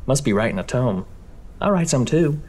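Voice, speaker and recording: A man's voice: mid-range voice, expressive, moderate pace, darkish tone, breathy edge. A man acting a talkative, overly polite male librarian in a horror audio drama. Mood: friendly nosy.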